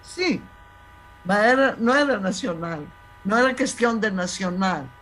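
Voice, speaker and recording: Woman in her 70s, salonica ladino accent, talks slow and firm, keeps restarting. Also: medium pitch, expressive, a bit bright. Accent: Thessaloniki Judeo-Spanish. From Thessaloniki, Greece. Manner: emphatic, insistent, with halting restarts. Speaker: guest historian. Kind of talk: conversational interview.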